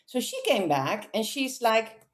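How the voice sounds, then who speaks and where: A woman, a podcast guest telling lively, self-amused anecdotes in a casual interview. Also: fairly high pitch, measured pace. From Netherlands. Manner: chatty, animated, self-amused storyteller.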